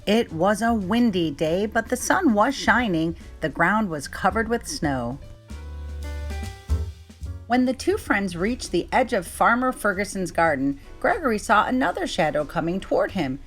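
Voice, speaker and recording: A woman's voice: medium pitch, big pitch swings, a little slow, faint music behind. A woman reading a children's story aloud as narrator.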